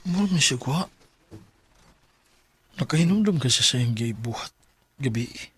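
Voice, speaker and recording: A man's voice: medium pitch, lively intonation, moderate pace, a bit bright, no echo. An actor playing a brash, easily flustered young man in a Bisaya radio drama, with a Cebuano Filipino accent. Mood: subdued. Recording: clearly noisy track with clicks.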